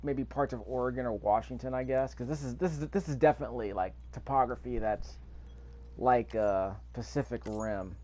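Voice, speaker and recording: A man with a medium-pitched voice narrates his gameplay in a casual, unscripted way. He muses aloud and repeats himself, with faint game noise behind him. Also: normal speed, mellow. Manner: musing aloud, repeats phrases. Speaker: gaming video host. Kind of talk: casual, off-the-cuff gameplay commentary. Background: faint video game ambience.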